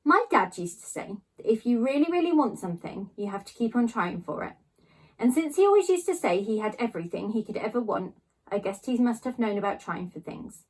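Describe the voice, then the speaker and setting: A woman reading a children's novel aloud, narrating in a child's first person with expressive storytelling emphasis. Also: fairly high pitch, a little fast, breathy edge, rough recording. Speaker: storybook reader.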